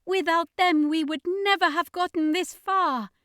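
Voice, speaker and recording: A woman voicing a fierce, commanding female character who defends heroes forcefully in an adventure story. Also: extremely high pitch, steady tone, unhurried, no room sound, clean studio sound, voice pushed up. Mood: furious, screaming. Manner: forceful, exclaiming.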